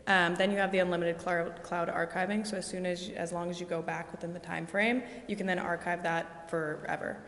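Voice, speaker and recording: A woman presenting at a city council meeting, brisk and explanatory about technical details. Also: fairly low pitch. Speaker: presenter for a camera system. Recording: echoey hall, faint noise.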